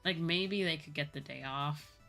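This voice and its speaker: Young adult female streamer, American, low register. Casual, rambling, unscripted chat over background music. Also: normal speed, creaky, sharp tone. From United States. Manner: rambling, informal, self-correcting. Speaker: livestreamer, virtual YouTuber. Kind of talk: casual chat with live viewers. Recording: music playing behind the voice.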